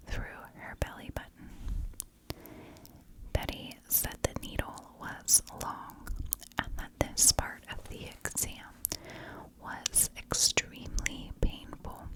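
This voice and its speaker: A woman narrating a story as an ASMR host, whispering in short, deliberate phrases. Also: low-quality recording.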